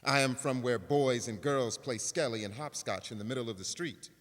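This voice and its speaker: A man's voice: mid-range voice, normal speed. A man giving a dramatic reading of a poem as an actor. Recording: reverberant hall recording.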